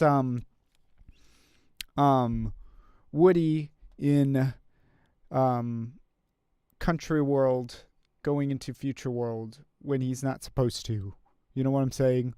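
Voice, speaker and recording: A man's voice: mid-range voice, takes it slowly, pauses often, a little mellow, smooth, no echo, clean studio sound. Man thinking aloud while composing. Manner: thinks aloud, many fillers and trailing half-sentences; talks to chat, reads out viewer comments. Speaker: composer streaming his work. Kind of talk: casual live-stream commentary while composing.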